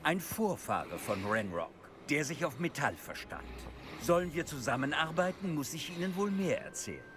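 An actor playing a wary, proud old male goblin lord in a German game dub, speaking in a stern, measured way. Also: on the high side, sing-song, noisy background. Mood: grave. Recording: game audio with music behind.

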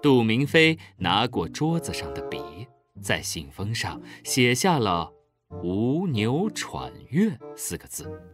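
A man's voice: mid-range voice, huge pitch swings, takes it slowly, soft background music. A man narrating a scripted children's story expressively.

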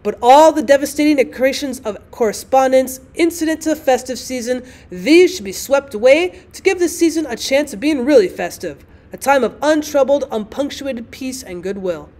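A woman's voice: high voice, expressive, normal speed, few pauses, dark tone. Woman reading as a fussy, formal, indignant husband. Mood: resolute. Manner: formal, declamatory, literary phrasing. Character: gloomy, fussy, indignant husband, grandly pedantic.

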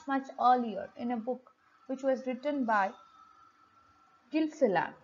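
A woman giving an explanatory lesson as a lecturer, speaking haltingly with frequent pauses and repeated words. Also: on the high side. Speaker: lecturer, host of an economics channel. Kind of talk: explanatory lesson read from slides. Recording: dull, lo-fi home recording.

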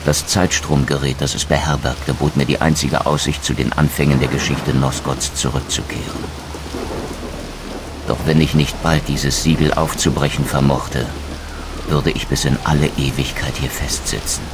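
Undead wraith antihero, extremely low voice, proud, brooding, sardonic, eloquent game drama, music behind. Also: male voice, flat delivery, normal speed, very noisy. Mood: brooding, grave. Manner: eloquent, theatrical, sardonic delivery. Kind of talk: dramatic game dialogue and scripted narration.

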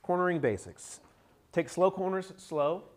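Male riding coach, thirties, lively and joking, lecturing a room, distant camera sound. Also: sits high, measured pace. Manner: energetic, joking, talks with hands at a whiteboard. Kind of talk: classroom motorcycle clinic lecture. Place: conference room with a group around tables. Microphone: camera at the back of the room, no visible mic.

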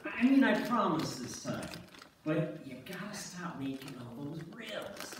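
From far off in a very echoey hall comes a folksy middle-aged husband, played by a man on stage. He is teasing and self-deprecating, speaking slowly with lively pitch. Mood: affable, teasing. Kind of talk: staged reader's theater acting. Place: church sanctuary stage, far camera. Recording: very echoey church hall from far off, faint noise.

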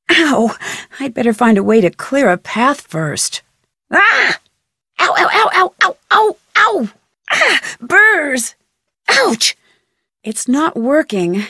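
A woman playing a curious, determined young detective in a video game, thinking aloud in crisp speech with an American accent. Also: on the high side, fairly bright tone, no echo, clean studio sound, exaggerated voice. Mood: pained.

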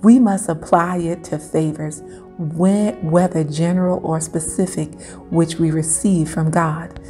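A middle-aged woman reads a Sunday school lesson in a small indoor room, her voice low and mellow. She keeps a steady reading pace and lifts into emphatic, preacher-like rises. Soft music plays faintly behind her. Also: unhurried. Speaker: church school teacher. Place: small indoor room before a wall screen.

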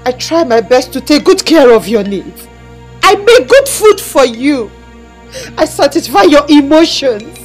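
A woman's voice: high-pitched, big pitch swings, unhurried, soft background music. A woman playing a headstrong young woman who complains and confronts. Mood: aggrieved. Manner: defiant, complaining.

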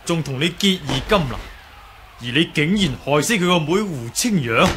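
An actor with a Hong Kong Cantonese accent playing a righteous, composed young martial-arts hero in a 1980s radio drama, speaking deliberately and accusingly. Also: male voice, fairly high pitch, expressive, fairly bright tone. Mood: stern, accusing. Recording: faint hiss on old radio recording.

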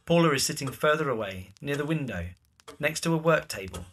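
A man's voice: on the high side, expressive, fairly quick, a bit bright. A man narrating scripted stage directions for an audio drama.

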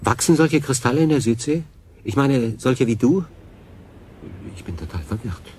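An actor playing a bewildered, stranded lone sailor in a radio play, halting and doubting his own sanity. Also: mid-range voice, animated, darkish tone, husky. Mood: bewildered, curious. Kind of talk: German radio drama acting.

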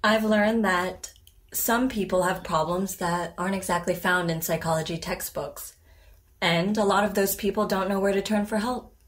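A woman playing a calm, guarded psychiatrist in an audio drama, speaking in a measured, professional, naturalistic way. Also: mid-range voice, close to an everyday voice. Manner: measured, professional, even-tempered. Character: calm, composed, guarded female therapist. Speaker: psychiatrist character.

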